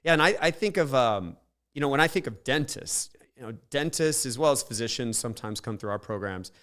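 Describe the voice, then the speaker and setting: A man's voice: mid-range voice, lively intonation, fairly quick, fairly bright tone. A man hosting a podcast who asks long, rambling questions full of fillers. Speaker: podcast host. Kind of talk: interview questions.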